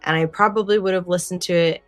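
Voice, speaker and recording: Low-pitched woman co-hosting a casual podcast. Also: fairly even intonation, fast talker, no room sound, soft background music. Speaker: podcast co-host. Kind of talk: casual discussion of a story.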